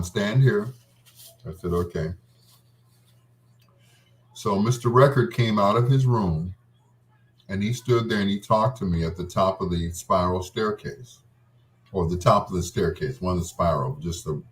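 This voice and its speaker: A man's voice: deep voice, measured pace, long pauses. A man hosting a radio show, telling personal stories in a casual, rambling, reminiscing way. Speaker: radio host. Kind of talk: casual storytelling between love songs.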